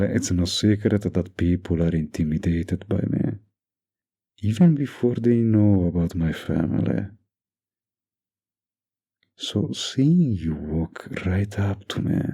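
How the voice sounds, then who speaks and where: A man acts out a dominant mafia heir doting on his lover in an intimate audio roleplay. The voice is dark and mellow, full of gravelly fry and husky rasp. He murmurs in a teasing, possessive way. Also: deep voice, heavily acted.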